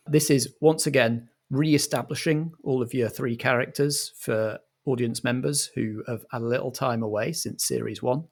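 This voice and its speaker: A man acting as game master and director, setting scenes and giving instructions in a theatrical, organised manner. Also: medium pitch.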